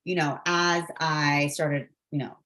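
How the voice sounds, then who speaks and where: A woman's voice: deep voice, steady tone, takes it slowly, sharp tone. A woman from Bangladesh speaking reflectively on a panel, with many "you know" fillers. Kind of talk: casual panel discussion.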